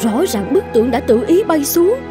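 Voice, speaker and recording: Bossy cartoon mandarin's wife. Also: female voice, high voice, big pitch swings, moderate pace, over music. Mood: insistent. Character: bossy middle-aged wife of a rich mandarin. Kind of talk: animated folk-tale cartoon acting.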